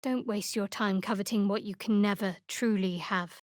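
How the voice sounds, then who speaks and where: A woman's voice: medium pitch, steady tone, moderate pace, a little mellow, dry recording, clean studio sound, close to an everyday voice. A woman playing a guarded, sardonic young female cleric in a fantasy video game, speaking in a cool, wry, clipped manner.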